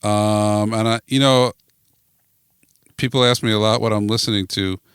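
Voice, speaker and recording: A man's voice: on the low side, fairly even intonation, moderate pace, a bit bright, clean tone, no echo, clean studio sound. A man being interviewed as a podcast guest, chatting casually and thinking aloud as he goes. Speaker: podcast guest, network founder.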